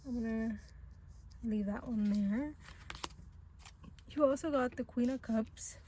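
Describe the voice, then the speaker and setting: A woman who is not a native English speaker gives a casual tarot reading in a gentle, reassuring and hesitant way, with many fillers. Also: on the high side, slow, background noise. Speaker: tarot reader.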